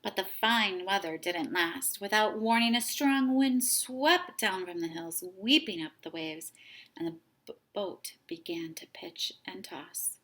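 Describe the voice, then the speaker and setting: Expressive woman reading a children's story, bright voice. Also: mid-range voice. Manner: dramatic, deliberate phrasing. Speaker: storytime host reading a picture book aloud.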